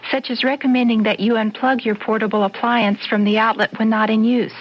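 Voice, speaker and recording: A woman announcer reading a public service announcement in a measured, informative way. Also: a little high, level tone. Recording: dull old radio broadcast.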